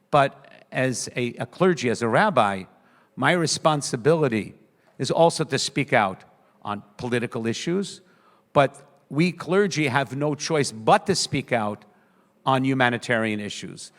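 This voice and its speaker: A man from the United States with a New York accent speaks as a rabbi on a panel. He talks earnestly and in measured phrases, pausing and restarting often, with a mid-range voice. The sound carries a long reverberant tail. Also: medium pitch. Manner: earnest, measured, with many hesitations. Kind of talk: conference speech.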